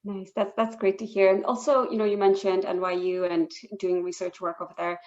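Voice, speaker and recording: A woman hosts an online session in a friendly, loose way, dotting her talk with fillers. Her voice sits at a middle pitch. The video-call sound is a little dull. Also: flat delivery, brisk, a little mellow. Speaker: webinar host.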